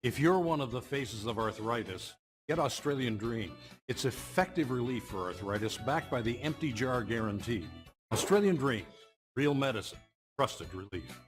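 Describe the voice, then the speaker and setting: A man reading a commercial voice-over in a smooth, persuasive way. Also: mid-range voice, animated, normal speed, music in the background. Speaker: ad announcer.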